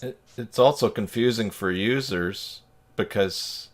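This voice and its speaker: A man's voice: fairly low pitch, unhurried. A man in casual conversation on a group video call, thinking aloud in a rambling, tentative way. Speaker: participant in a web tutorial livestream.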